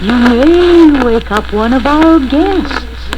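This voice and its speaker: An eerie, mannered ghost host from a children's storybook record, acted out by a woman. The voice is high and very slow, stretching each courteous, ominous phrase. Vinyl crackle and pops run clearly through the recording. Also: expressive. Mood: hushed warning. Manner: slow, drawn-out, courteous warnings.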